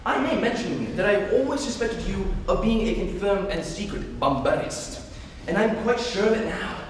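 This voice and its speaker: A teenage male student playing a flippant, teasing young Victorian gentleman in a dramatic stage reading, with an arch, theatrical manner. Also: sits high, sing-song, moderate pace. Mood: playful, prying. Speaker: student actor. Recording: distant, very echoey hall, noisy.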